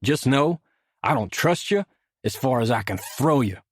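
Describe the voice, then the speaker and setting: A man's voice: mid-range voice, animated, normal speed, no room sound, studio quality, lightly acted. A man voicing a huge, hostile, agitated working man in an audiobook, blunt and confrontational. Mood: angry, accusing. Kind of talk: acted audiobook dialogue.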